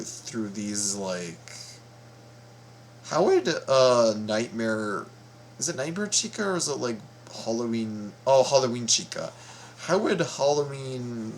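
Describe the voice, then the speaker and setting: A man hosting a reaction video, commenting casually in a halting, stammering way and repeating his words. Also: medium pitch, slight background noise.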